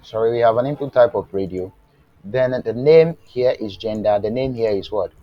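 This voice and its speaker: A man's voice: on the low side, highs cut off. A man teaching web development live online, who often asks the class "what?" and checks whether they are following. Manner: asks rhetorical "what?" questions and lets the class fill in the answer; slow and deliberate, with fillers and restarts. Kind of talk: live online coding lesson. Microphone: remote class, voice faint at times.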